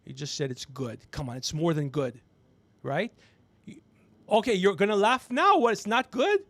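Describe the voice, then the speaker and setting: A man's voice: sits high, animated, normal speed, fairly bright tone, no room sound. A man in his fifties hosting a casual comedy livestream, rambling, teasing and swearing as he talks. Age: 50s. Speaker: podcast host.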